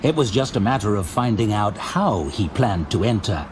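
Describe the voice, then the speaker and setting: A man's voice: lowish voice, animated, moderate pace, noisy background. A man giving a dramatic scripted narration of a fantasy audio drama. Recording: loud dramatic music under the voice. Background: music.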